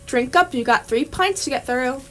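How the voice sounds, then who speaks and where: A woman playing an unflappable alien man passing as human in an amateur radio comedy, brisk and matter-of-fact. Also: high voice, lively intonation, a bit bright. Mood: deadpan, brisk. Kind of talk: amateur radio theatre acting.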